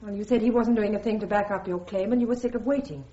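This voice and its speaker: A woman's voice: mid-range voice, fast, dark tone, close to an everyday voice. An actress playing a dutiful female army captain giving evidence in a radio drama, formal, precise and earnest. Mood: earnest, reluctant. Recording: dull, band-limited radio recording.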